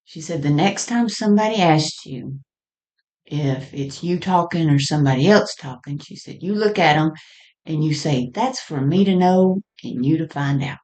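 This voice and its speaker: A woman telling personal stories in a halting, conversational way with frequent small chuckles as she reminisces. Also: sits low, darkish tone. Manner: halting and conversational, often chuckling mid-sentence. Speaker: storyteller sharing personal experience.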